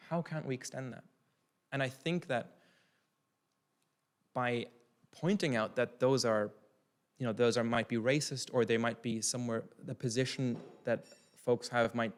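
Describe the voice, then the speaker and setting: Young man from Ireland giving a keynote. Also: mid-range voice. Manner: thoughtful, restarts often, talks with his hands. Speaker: keynote speaker. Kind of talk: conference talk answering a question. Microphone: seated at a desk mic on a stand.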